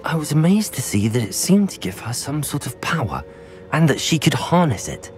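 A man's voice: fairly high pitch, sing-song, moderate pace, soft background music. An actor playing an earnest young wizard student who reports urgent news to his elders.

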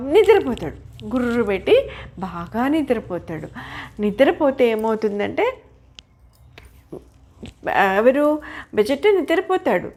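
A woman's voice: high voice, darkish tone. An older woman telling a children's story informally, explaining as she goes and putting questions to her listeners. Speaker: storyteller grandmother.

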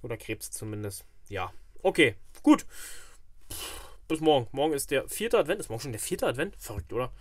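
A man's voice: mid-range voice, big pitch swings, a little fast, no echo. A man hosting a casual fishing unboxing vlog, chatty and rambling as he thinks aloud, filmed indoors at a table on a camera mic. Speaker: fishing channel host.

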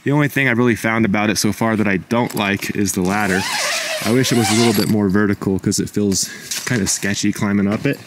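Young man, low voice, relaxed chatty explaining, outdoor campsite, handheld camera, faint background noise. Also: fast. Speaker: vlogger. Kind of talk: casual camping vlog.

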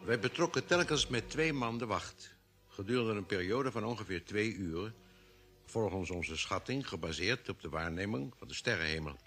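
A man's voice: on the low side, expressive, normal speed, a bit bright. An actor playing a sober space explorer who recounts his expedition as narrator of an old radio drama. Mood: grave, measured. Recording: vintage radio drama with music behind.

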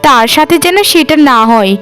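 A woman's voice: high-pitched, expressive, normal speed, fairly bright tone, soft background music. A woman playing a long-suffering middle sister-in-law who speaks plaintively in scripted cartoon dialogue. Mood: aggrieved.

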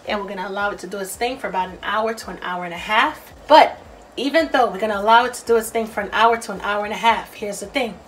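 A woman's voice: medium pitch, quick pace, a little hiss or hum, low-quality recording. Chatty, playful woman hosting a cooking video. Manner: chatty, playful, addresses viewers directly. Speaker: cooking show host. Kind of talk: casual recipe narration.

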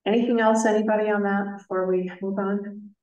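A middle-aged woman chairing a town planning board meeting, speaking in a measured, careful way with many filler pauses. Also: medium pitch, little pitch movement, a little mellow, distant mic, muffled recording. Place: town office meeting room. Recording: echoey room, voice picked up from afar.

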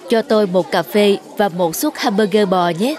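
A woman's voice: medium pitch, normal speed, darkish tone, natural voice. A woman voicing an older male schoolteacher in a cartoon, reserved and strict but kind. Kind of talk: cartoon dub acting.

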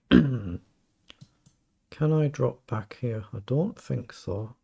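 A middle-aged man with a croaky voice, thinking aloud as he plays fast chess and comments on each move. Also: mid-range voice, mellow. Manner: thinking aloud, muttering over moves. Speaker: chess streamer. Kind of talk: live game commentary.

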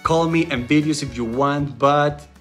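A man narrating a vlog in a wistful, gently joking voice-over, a native Spanish speaker. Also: fairly high pitch, a little slow, faint music behind.

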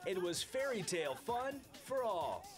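High-pitched man narrating TV feature. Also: big pitch swings, measured pace. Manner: upbeat, promotional. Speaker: field reporter. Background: music.

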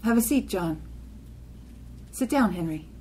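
Mid-pitched woman reading poetry. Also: expressive, unhurried, darkish tone, a little hiss or hum. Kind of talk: scripted poetry reading in short phrases.

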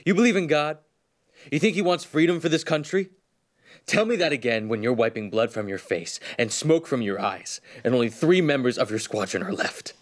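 A man's voice: medium pitch, expressive, normal speed, close to an everyday voice. A man playing a bitter, drunk, war-weary soldier in an audio drama, defiant and fatalistic. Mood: angry outburst.

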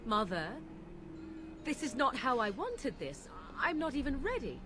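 A woman's voice: high-pitched, huge pitch swings, unhurried, heavily acted. A woman voicing a proud, aloof young witch in a fantasy game, speaking formally with arch sarcasm. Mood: protesting. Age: young adult. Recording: game audio over noisy outdoor ambience.

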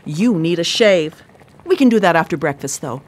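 An actress playing a brisk, sardonic older sister and proud home cook in a contemporary radio drama, with a dry, teasing manner. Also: female voice, lowish voice, lively intonation, darkish tone.

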